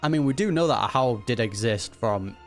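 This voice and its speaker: A man's voice: medium pitch, lively intonation, brisk, music in the background. A man giving casual, laid-back reaction commentary as he plays through a video game. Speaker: gaming reaction streamer.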